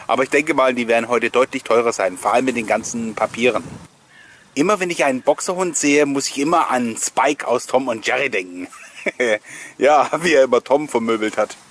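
A man gives an informal voice-over in the manner of a host sharing memories with his viewers. He rambles amiably through anecdotes at a middle pitch and tends to chuckle. There is some audible background noise behind him. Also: fairly bright tone. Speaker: host of a collectors' nostalgia video channel. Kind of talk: chatty voice-over commentary with personal anecdotes.

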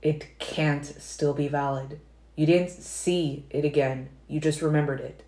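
A brooding young male vampire, tense and pained, as a woman narrator reads him. His voice sits low and even. The recording has a roomy echo. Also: unhurried.

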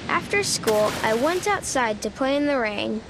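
A woman's voice: a little high, lively intonation, moderate pace, background noise. A woman narrating a children's storybook expressively, voicing an earnest, forgetful little boy critter with a childlike manner. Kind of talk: expressive children's read-aloud in a young child character's voice.

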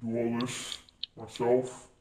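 A male video creator talks casually over gameplay editing through a deepening voice changer. His delivery is slow and the tone dark, in an echoey space. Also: completely flat delivery. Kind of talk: casual gaming vlog narration. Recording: roomy, echoing sound.

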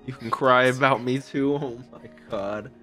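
A young man reacting out loud to an anime episode, exclaiming and swearing casually into a boom mic in a home streaming room. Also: steady tone, a little slow, soft background music. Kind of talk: excited reaction commentary. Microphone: boom mic with headphones. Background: anime soundtrack playing.

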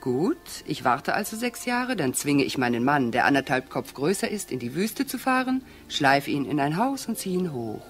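A self-possessed, provocative widow in a noir radio play. Her delivery is mocking and lively, swinging in pitch, over clearly audible music. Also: moderate pace, hardly any pauses. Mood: sarcastic. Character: cool, scornful, provocative widow of a murdered man. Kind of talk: radio crime drama acting.

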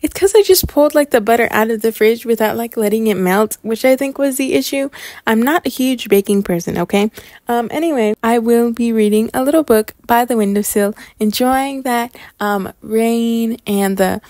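A woman's voice: fairly high pitch, moderate pace, darkish tone, dry recording, studio quality. A young woman narrating a vlog casually, in a chatty, informal way full of filler words. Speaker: vlogger.

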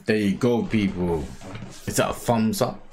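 A man's voice: on the low side, slight background noise. A man in his thirties with a British accent, reacting casually to a music video, recorded on a headset in a small home room. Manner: slow, hyped interjections between song plays. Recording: loud rap music playing behind.

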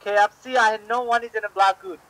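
A woman working at a fast-food drive-thru, taking orders over an intercom. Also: on the high side, fairly even intonation, a bit bright, rough recording. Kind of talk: customer service order-taking. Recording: drive-thru speaker, faint car noise.

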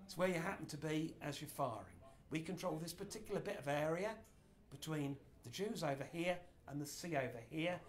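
A man's voice: fairly high pitch, brisk, hardly any pauses. A middle-aged man giving an informal history lecture in a small indoor room, gesturing broadly as he explains. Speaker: speaker giving a history talk.

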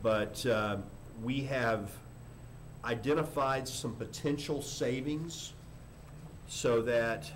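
An older man, a school superintendent, giving a formal report at a board meeting in a halting, measured way with frequent pauses. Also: medium pitch. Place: indoor board meeting room. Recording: noisy meeting room microphone.

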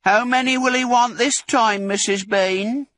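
A man voicing a big, slow-witted young farm woman working as a servant. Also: high-pitched, lively intonation, pushed and bright, dry recording, voice pushed up, exaggerated voice. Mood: plodding.

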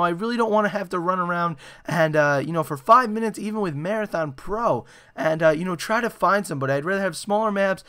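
A young man with a hoarse, raspy voice, giving excitable gameplay commentary full of "you know" and "uh". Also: high-pitched. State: hoarse after a concert. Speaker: gaming channel host. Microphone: desktop microphone.

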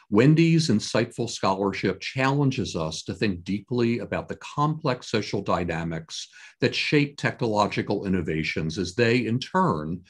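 A man in his sixties giving a formal, scripted opening address as a conference host, with measured, deliberate phrasing and a husky voice. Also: on the low side. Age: 60s. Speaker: conference host introducing a keynote speaker. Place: home office with bookshelves, indoors. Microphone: computer webcam at desk distance, video call.

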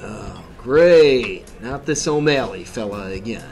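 An actor playing a dry, defiant speakeasy owner in an old-time radio drama. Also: male voice, mid-range voice, expressive, slow pace, a little mellow, faint music behind. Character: stubborn speakeasy owner, grown man, wry.

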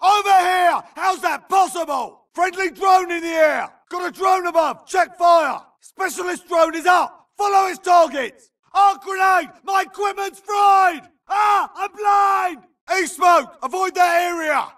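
An actor playing an urgent infantry grunt in a video game, barking clipped combat callouts. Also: male voice, very high-pitched, measured pace, fairly bright tone, shouting, far from the mic. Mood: urgent alarm. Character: gruff, urgent infantry grunt soldier in battle. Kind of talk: shouted combat barks.

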